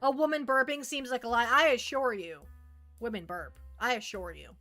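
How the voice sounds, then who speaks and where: A woman's voice: a little high, moderate pace, bright, forceful tone, soft background music. A woman chatting casually to viewers as a livestream host, rambling playfully and often repeating her phrases.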